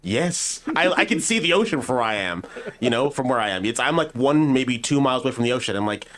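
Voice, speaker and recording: A man's voice: mid-range voice, lively intonation, fast talker, a bit bright. A man co-hosting a podcast in casual group chat, complaining playfully with wry remarks and some swearing. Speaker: podcast co-host.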